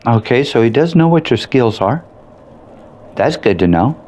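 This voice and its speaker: A man's voice: medium pitch, very animated, moderate pace, darkish tone. A man giving casual commentary while he plays a video game. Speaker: gameplay streamer reviewing game mods. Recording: noticeable background noise.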